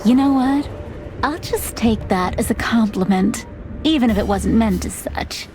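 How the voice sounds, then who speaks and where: A woman's voice: on the high side, expressive, unhurried, darkish tone, soft background music. A woman playing a timid but dutiful young fawn secretary in a film-noir video game, polite, reserved and a little hesitant yet composed. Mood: defensive, polite.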